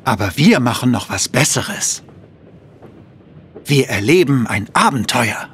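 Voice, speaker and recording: Kind, lively-toned father. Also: mid-range voice, a little slow, soft background music. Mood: reassuring. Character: kind, easygoing, trusting father of a young girl. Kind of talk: audio drama dialogue for children.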